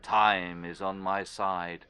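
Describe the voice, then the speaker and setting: Deep, brooding immortal sea captain cultist. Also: male voice, flat delivery, full character voice. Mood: musing, grim. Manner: brooding, deliberate, trailing into quiet phrases. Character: immortal former sea captain turned cold, devout cultist. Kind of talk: dramatic scripted monologue, horror audio drama.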